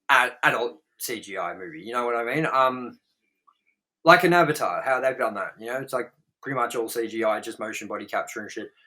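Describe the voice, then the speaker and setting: A man's voice: medium pitch, bright, forceful tone, low-quality recording. A man hosting a casual film review podcast, talking in a blunt, rambling, sweary way. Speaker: podcast host reviewing films.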